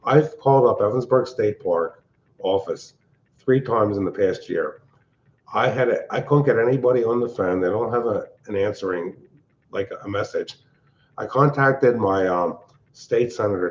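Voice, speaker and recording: An older man chats on a late-night livestream, his voice loud and pitched on the low side. He talks in a blunt, rambling, irritable way, speaking from a big open-plan house. The audio is dull and lo-fi. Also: normal speed. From Pennsylvania. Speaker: livestream host. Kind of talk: casual livestream chat.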